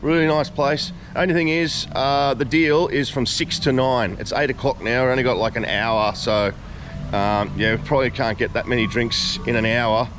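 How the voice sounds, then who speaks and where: A man's voice: medium pitch, steady tone, normal speed, a little hiss or hum. Chatty man vlogging at night. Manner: chatty, exclaiming "far out" and "whoa". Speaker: travel vlogger. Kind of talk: casual vlog narration. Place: outdoors at night and in a rooftop bar. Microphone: action camera held at arm's length. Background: loud music.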